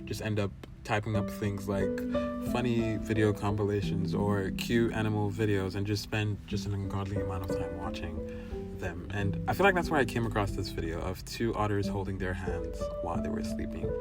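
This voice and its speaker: A man's voice: lowish voice, normal speed. A man in his twenties from Nigeria, talking thoughtfully in a personal video monologue and often checking in with "right?". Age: 20s. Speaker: vlogger. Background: guitar music.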